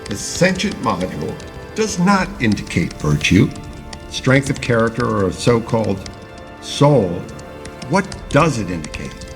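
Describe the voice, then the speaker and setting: Solemn, expressive orator-scientist. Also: male voice, on the low side, music in the background. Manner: formal, measured, oratorical. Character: idealistic male neuroscientist delivering a solemn public address. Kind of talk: audio drama speech.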